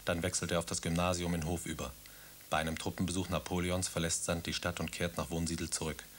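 A man's voice: deep voice, flat delivery, fast, fairly bright tone, slight background noise. A man narrating a biographical chronicle in a terse, factual way. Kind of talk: scripted radio drama narration.

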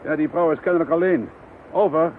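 Guy playing a pushy police inspector in a radio drama, highish voice, phone-like audio. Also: steady tone, brisk, close to an everyday voice. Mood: brisk, determined. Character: headstrong, insistent male police chief inspector. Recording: tinny, band-limited, hissy radio play recording.

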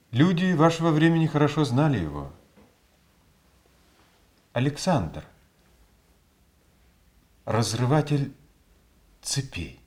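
An actor playing a terse, brooding travelling circus animal tamer in a theatrical radio play. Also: male voice, on the high side, darkish tone. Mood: grave.

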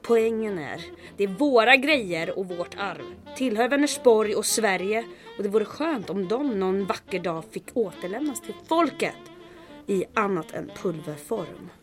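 A woman's voice: mid-range voice, animated, soft background music, natural voice. Actress as bold woman captain in radio drama. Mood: dry, resolute. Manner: brisk, commanding, dryly teasing. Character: bold, commanding woman resistance captain, dryly witty saboteur. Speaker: lead character, captain. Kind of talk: old-time radio drama acting.

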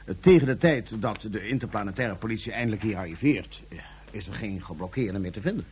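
An actor playing a composed, calculating ruler on Mars who speaks formally and deliberately, with small hesitations, in a radio drama. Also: male voice, fairly low pitch, lively intonation, a little mellow, a little hiss or hum, lightly acted. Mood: calm, scheming. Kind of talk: Dutch science fiction radio drama acting.

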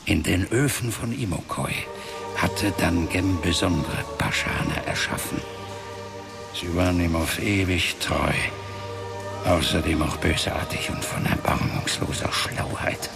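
Casting: ancient wizard father, grave fantasy radio-play figure, very low breathy voice, unhurried, over prominent music. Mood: grave, recounting. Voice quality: gravelly, breathy half-whispering voice. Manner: grave, measured storytelling. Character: ancient solemn father, powerful wizard of a magical race. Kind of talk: fantasy radio drama acting. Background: background music.

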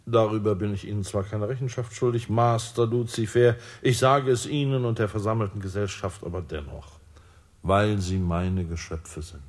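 An actor playing a solemn male creator god in a radio drama, speaking in a measured, authoritative way. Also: on the low side, fairly even intonation, lightly acted.

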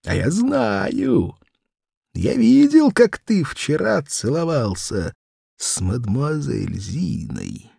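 A man voicing a gloating little boy who teases in a drawn-out, sing-song way as he threatens to tell tales. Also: a little high, wildly swooping pitch, gravelly, mellow, husky, dry recording, studio quality, pitched above the performer's own voice, heavily acted. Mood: gloating, teasing. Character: gloating, teasing little boy tattling on his governess.

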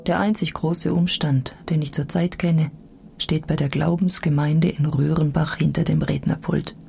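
A woman's voice: sits low, steady tone, moderate pace, gravelly, warm tone, breathy edge, full character voice. An actress playing an embittered woman who left a sect, giving dry, sardonic, matter-of-fact testimony in a radio drama.

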